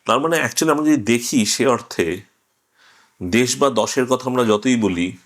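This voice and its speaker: A man interviewing someone, making his argument conversationally and haltingly, in short fragments. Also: medium pitch.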